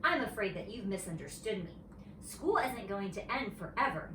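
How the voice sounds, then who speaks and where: A woman voicing a patient, gently firm female kindergarten teacher in a storybook, explaining carefully to young children. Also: mid-range voice, very animated, normal speed, close to an everyday voice. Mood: patient, apologetic. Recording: roomy, distant mic, faint noise.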